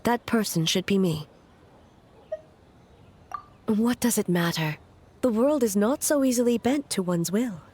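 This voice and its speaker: A woman voicing a stoic, aloof immortal shogun in a video game, speaking in a formal, grave and measured way over music. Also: medium pitch, darkish tone, a little hiss or hum, lightly acted. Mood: solemn, resolute. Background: background music.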